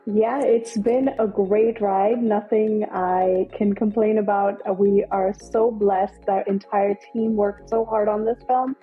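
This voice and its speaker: A woman's voice: mid-range voice, fairly even intonation, takes it slowly, few pauses, husky, narrow, tinny audio, faint music behind. A woman film director from Nepal, speaking as an interview guest in an upbeat, enthusiastic way with many fillers. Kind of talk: casual interview.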